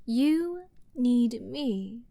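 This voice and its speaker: A woman playing an arrogant, flirty and menacing princess in a dramatic fantasy ASMR roleplay, teasing and theatrical, with drawn-out words and dips toward a whisper. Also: fairly high pitch, expressive, creaky, warm tone, no room sound, heavily acted. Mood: condescending, threatening. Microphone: close studio mic, dry.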